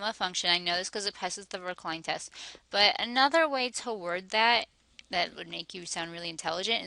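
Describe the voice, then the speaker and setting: A woman teaching a math lesson, working through each step aloud, her voice a little hoarse. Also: on the low side, pushed and bright, dry recording. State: slightly hoarse, losing her voice.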